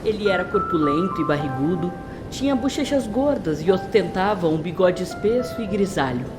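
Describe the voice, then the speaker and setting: A woman's voice: fairly low pitch, expressive, moderate pace, over music. A woman narrator reading a scripted story in a dramatic storytelling style.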